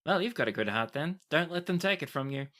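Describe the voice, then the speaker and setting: Game master as chatty, animated halfling woman alchemist. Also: medium pitch, quick pace, voice pushed up, lightly acted. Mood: warm, wry. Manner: chatty, exclaiming, folksy. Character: halfling woman alchemist, blunt, chatty, kindly, freshly resurrected.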